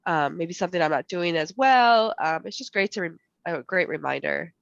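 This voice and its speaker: A woman on a panel with a cold and a voice that is going, giving advice haltingly with many fillers. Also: lowish voice, expressive, gravelly, no room sound. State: fighting a cold, losing her voice. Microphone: video call. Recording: dull dry video call audio.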